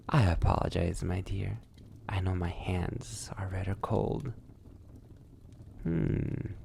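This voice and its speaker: A man playing a seductive, sadistic vampire lord in an intimate ASMR roleplay, mockingly courteous, taunting in drawn-out phrases that sink toward a whisper. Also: deep voice, mellow, slight background noise, full character voice. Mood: silky, teasing. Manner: breathy near-whispers, drawn-out taunting, archly polite.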